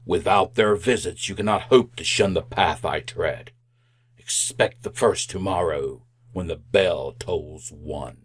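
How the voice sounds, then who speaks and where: A man's voice: sits low, a little slow. An actor playing the mournful ghost of a dead businessman in an old-time radio drama, solemn, flowery and declamatory. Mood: grave warning.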